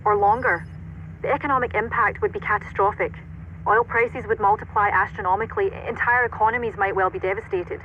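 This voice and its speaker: A woman's voice: medium pitch, fairly even intonation, brisk, lightly acted. Woman as composed intelligence officer, dull muffled sound. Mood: grave, precise. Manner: formal, precise, informative. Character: composed female military intelligence officer, Oracle. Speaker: intelligence officer briefing a commander. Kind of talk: cinematic military game acting. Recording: dull band-limited radio-like sound, faint noise.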